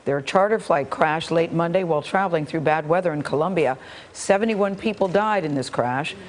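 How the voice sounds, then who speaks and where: A woman's voice: low-pitched, moderate pace, slight background noise. A woman working as a news anchor, reading a scripted introduction to a report.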